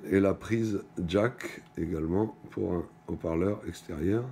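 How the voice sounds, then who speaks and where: Deep, mellow man presenting haltingly. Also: creaky, slight background noise. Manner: halting, stop-and-go phrasing with trailing pauses. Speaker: product presenter. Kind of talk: unscripted hands-on product demonstration. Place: indoors at a wooden table in a small shop or office.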